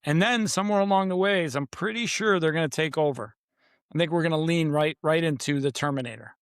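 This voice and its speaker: Emphatic man with a higher voice. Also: fairly quick, smooth, no room sound, clean studio sound. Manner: emphatic, confident, repeats "literally". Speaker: podcast guest, author. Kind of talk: casual podcast interview.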